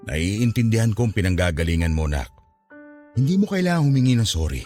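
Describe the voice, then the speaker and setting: A man's voice: fairly low pitch, very animated, moderate pace, darkish tone. A Filipino man playing a patient, resigned older father in a radio drama, soothing and forgiving in his manner. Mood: resigned, understanding. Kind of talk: Tagalog radio drama acting. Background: background music.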